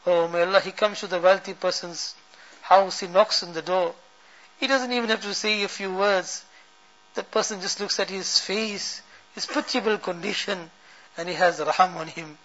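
A man leading an emotional supplication, chanting Arabic verse and pleading fervently, each refrain trailing off to a hush. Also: high-pitched, steady tone, normal speed. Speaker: prayer leader. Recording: dull lo-fi recording.

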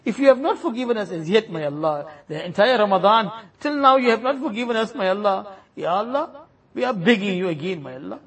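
Imam type, man, raspy and high, leading a pleading prayer with a lively, swooping delivery. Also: fairly quick. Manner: pleading, each plea closed with a soft trailing refrain. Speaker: imam leading a congregation in supplication. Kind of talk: impassioned supplication prayer.

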